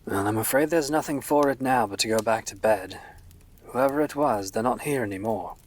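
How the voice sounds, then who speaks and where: A man's voice: medium pitch, moderate pace, lightly acted. An actor playing a morbidly fascinated, learned grave robber in an audio drama, relishing his words and dropping into whispers. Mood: weary, irritated.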